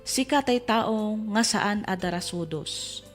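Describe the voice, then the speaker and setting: A woman's voice: medium pitch, slow. Ilocano woman hosting over background music. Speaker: radio drama host. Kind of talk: scripted letter reading over music.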